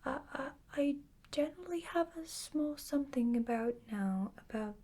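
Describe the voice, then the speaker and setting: A woman voicing a humble, slow-witted, wistful bear in a children's bedtime story, with a hesitant, stammering manner. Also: sits high, lively intonation, dark tone, pitched above the performer's own voice. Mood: dreamy, wistful.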